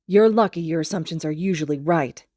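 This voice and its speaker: A gruff, guarded young man from an anime story, played by a woman reader. He talks bluntly, with a sardonic, challenging edge. The sound is very dry. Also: deep voice, a little fast, a little mellow, dry recording. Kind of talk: anime fan fiction character reading.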